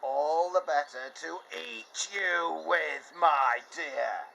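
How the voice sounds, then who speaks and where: A man doing the wolf's squeaky fake granny voice. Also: very slow, vocal fry, sharp tone, slight background noise, exaggerated voice. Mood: sweetly fake. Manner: high, put-on old-lady voice. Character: big bad wolf disguised as Grandma, squeaky fake old-lady voice.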